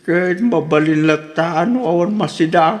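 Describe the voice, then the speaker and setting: A man's voice: fairly high pitch, a little slow, close to an everyday voice. A man playing a put-upon elderly father-in-law who complains meekly in an audio drama. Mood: plaintive complaining. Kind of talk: radio drama acting in Ilocano. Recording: long reverb on the voice.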